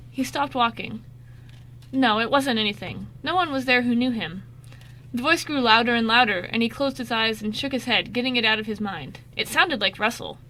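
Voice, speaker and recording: A woman narrates an amateur radio play from a script. Her voice is forward and very bright, set a little high. She reads the story with expression. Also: low-quality recording.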